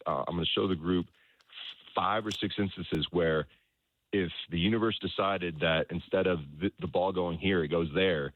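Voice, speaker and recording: A man speaking as a soccer coach in an interview, explaining things in a halting way, repeating words and often checking in with the listener. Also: sits low. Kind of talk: conversational sports interview, explaining his coaching philosophy. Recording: roomy echo, dull lo-fi sound.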